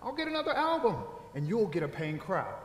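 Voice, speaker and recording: A man's voice: sits high, sing-song, a little fast. A man acting a restless, hard-up jazz horn player in a stage drama, slangy and insistent. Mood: cajoling. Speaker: actor in staged reading. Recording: echoey theater hall.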